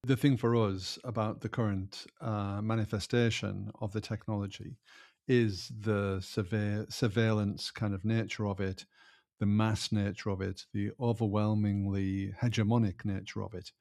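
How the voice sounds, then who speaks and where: A man's voice: on the low side, flat delivery, measured pace, a little mellow. A man co-hosting a podcast, stating his view emphatically and firmly, with restarts and asides. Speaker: podcast co-host. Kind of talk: casual podcast conversation.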